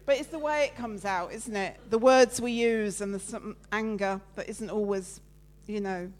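A woman giving a lively, loud, chatty sermon with slides, talking with her congregation. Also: medium pitch, normal speed. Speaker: preacher giving a talk at church. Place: church meeting room with listeners present.

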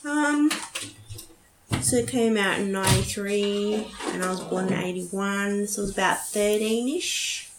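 A woman's voice: mid-range voice, measured pace. A woman in her thirties chatting informally as a podcast co-host, talking like an enthusiastic fan. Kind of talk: casual chat. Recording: echoey room, noisy background, clicks.

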